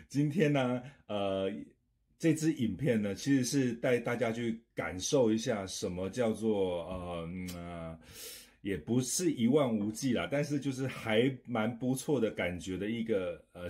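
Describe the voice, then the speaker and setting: Casting: middle-aged male travel vlogger, lowish voice, informal chat to camera. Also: a little mellow. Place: small indoor home office.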